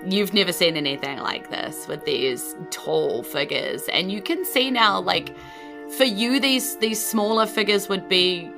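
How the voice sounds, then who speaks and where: A woman's voice: mid-range voice, unhurried, gravelly, music in the background. A woman with a New Zealand accent improvising descriptive narration as game master of a tabletop game, in a conversational way. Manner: conversational, descriptive, frequent "you know" asides.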